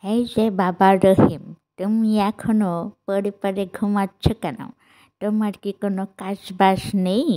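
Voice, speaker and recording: A woman's voice: medium pitch, level tone, unhurried, mellow, close to an everyday voice. A woman playing a stern older village mother in a cartoon, questioning and scolding. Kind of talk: cartoon voice acting.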